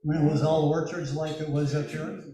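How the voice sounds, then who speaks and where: A man's voice: on the high side, little pitch movement, fairly quick, warm tone. An older man from California chatting easily, mostly in short answers. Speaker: club member. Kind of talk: casual chat. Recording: very echoey room with people behind.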